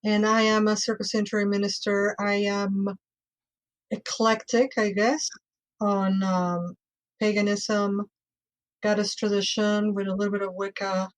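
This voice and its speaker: A woman's voice: mid-range voice, flat delivery, measured pace, low-quality recording. A woman from Mexico with a Mexican accent, a returning guest chatting casually about herself. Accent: Mexican Spanish. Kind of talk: casual chat.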